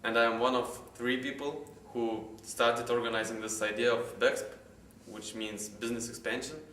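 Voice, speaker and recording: A man, casually pitching an internship with small restarts. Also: moderate pace. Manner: relaxed, with small restarts and light laughs. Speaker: internship organizer. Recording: echoey room, far from mic, faint noise.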